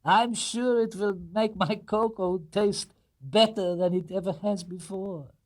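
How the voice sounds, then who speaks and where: A man voicing a kindly elderly gentleman in a children's story, courteous and ponderous, with a halting, deliberate delivery. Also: high voice, steady tone, voice pushed up. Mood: grateful.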